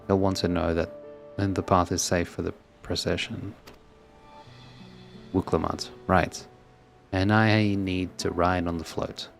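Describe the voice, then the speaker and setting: A man's voice: sits low, flat delivery, takes it slowly, gravelly, long pauses. A man streaming a video game, reading dialogue aloud and adding casual, reactive asides. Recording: stream voice over game music. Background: game music.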